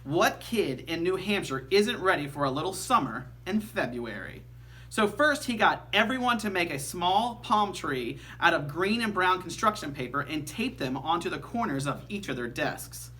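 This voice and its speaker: A man reading a children's story aloud like a teacher, with animated, emphatic storytelling. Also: sits high, normal speed.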